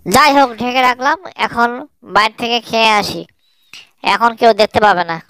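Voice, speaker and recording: A woman's voice: fairly high pitch, moderate pace, noisy background, lightly acted. A woman voicing a fretful mother duck in a children's cartoon, quacking repeatedly between short plaintive phrases. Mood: busy, careful. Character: fretful mother duck guarding her eggs. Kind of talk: children's fairy-tale cartoon voice acting.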